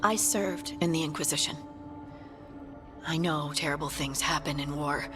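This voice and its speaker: A low female game character voice, acted by a woman as an earnest scout carrying old hurt. She speaks gravely and with bitterness, over faint music. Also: moderate pace, lightly acted. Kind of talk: video game cutscene acting. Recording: music behind the voice.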